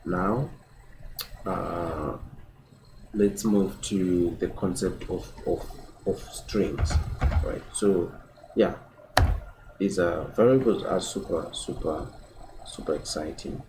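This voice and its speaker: Slow, deep-voiced young man teaching code. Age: 20s. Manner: repeats words and adds "right?" often. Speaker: programming tutor. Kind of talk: casual screen-recorded coding tutorial. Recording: keyboard typing and room noise behind.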